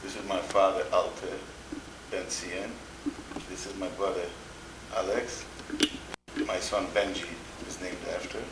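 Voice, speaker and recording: An older man from Poland giving oral history testimony in a slow, halting way, often repeating words. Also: low voice, flat delivery. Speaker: interviewee, Holocaust survivor. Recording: echoey room with background noise.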